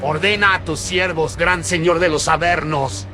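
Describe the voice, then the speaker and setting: A man's voice: a little high, fairly even intonation, a little slow, pushed and bright, faint music behind, voice pushed up. A man voicing an obedient, fervent devil worshipper chanting submissively in a horror radio drama. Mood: fervent submission.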